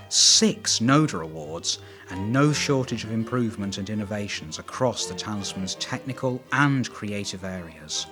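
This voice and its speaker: A man narrates a documentary in a lowish voice with very lively, varied intonation. His scripted delivery carries wry asides, and music plays clearly behind him. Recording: voice over background music.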